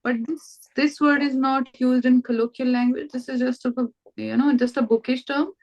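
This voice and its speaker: A woman's voice: sits high, steady tone, darkish tone, highs cut off. Indian woman tutoring over a video call. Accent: Indian English. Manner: patient, repeats words slowly for the student. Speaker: language tutor. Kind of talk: online language lesson.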